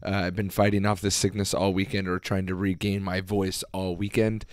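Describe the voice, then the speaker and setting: Hoarse, deep-voiced male podcast host. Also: level tone, moderate pace, no echo, studio quality. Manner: rambling, many fillers and restarts. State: hoarse, losing his voice. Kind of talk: casual solo podcast talk.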